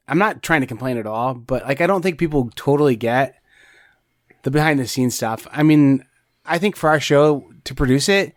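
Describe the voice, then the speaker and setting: A man's voice: on the high side, no room sound. Man chatting in a dry, close sound. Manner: rambling, many restarts and fillers. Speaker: podcast co-host. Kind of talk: casual chat.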